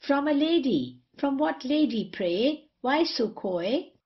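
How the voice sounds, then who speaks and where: A lo-fi audio play recording with a somewhat high voice. A woman acts a saucy tavern wit who teases and pries with mocking theatrical flair. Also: lively intonation, slow pace. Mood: teasing. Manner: teasing, inquisitive. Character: saucy, sneering tavern wit in period comedy. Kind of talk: theatrical period comedy acting.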